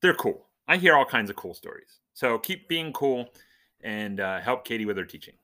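A man's voice: mid-range voice, very animated, normal speed, pushed and bright. A man hosting a podcast, giving an informal, conversational Bible lesson, explaining and asking questions as he goes. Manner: conversational, explanatory, asks questions and answers them.

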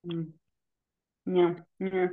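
A woman's voice: lowish voice, level tone. Woman on a phone-like line. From Portugal. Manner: informal, halting, repeats words. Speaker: interview guest, community land steward. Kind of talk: casual conversational interview. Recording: narrow phone-like call audio.

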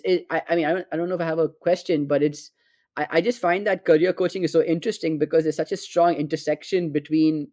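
A man's voice: on the high side, dark tone, dry recording, clean studio sound. A man hosting an interview, thinking aloud in a rambling way with many restarts. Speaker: podcast host. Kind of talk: casual interview talk.

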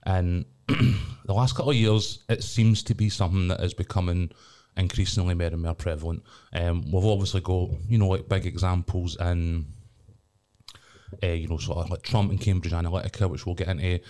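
A man's voice: low-pitched, fairly even intonation, normal speed, darkish tone. A Scottish man hosting a podcast, chatting loosely with lots of ums and restarts. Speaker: podcast host. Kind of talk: casual chat.

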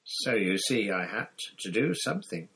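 A man's voice: lowish voice, big pitch swings, normal speed, bright, forceful tone, exaggerated voice. A man voicing a gaunt, bitter escaped prisoner who speaks with harsh intensity in a storybook reading. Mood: earnest.

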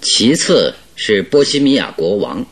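An actor in a radio drama playing a genial, self-assured detective with a teasing, politely probing manner. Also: male voice, fairly low pitch, very animated, measured pace, slightly rough, heavily acted. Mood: amused, teasing. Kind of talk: Chinese radio drama acting.

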